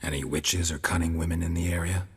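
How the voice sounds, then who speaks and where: An actor playing a terse, world-weary monster hunter in a video game, with a low gravelly murmur and clipped, deadpan delivery. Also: male voice, fast talker. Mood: matter-of-fact, probing. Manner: clipped, deadpan, muttering observations.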